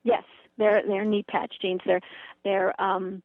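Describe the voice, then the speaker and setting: A woman's voice: mid-range voice, little pitch movement, takes it slowly, dry recording. Gushing woman on a muffled phone-like line. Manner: gushing, emphatic. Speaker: product presenter. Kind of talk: enthusiastic conversational product pitch.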